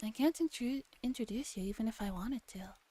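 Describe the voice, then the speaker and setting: A woman's voice: on the high side, fairly quick, dark tone, natural voice. A woman voicing a casual, nonchalant young female part-timer in a visual novel. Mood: reluctant. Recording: music behind the voice.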